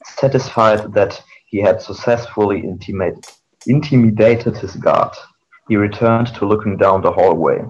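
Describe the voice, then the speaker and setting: A man's voice: fairly low pitch, moderate pace, slightly rough. A man reading fan fiction aloud in an amateur dramatic narration. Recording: dull, noisy amateur mic.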